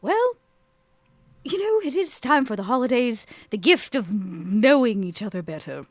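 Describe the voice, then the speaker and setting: Female player, slow and measured, animated pitch, dry sound. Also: no room sound. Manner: measured, probing questions. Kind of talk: in-character roleplay.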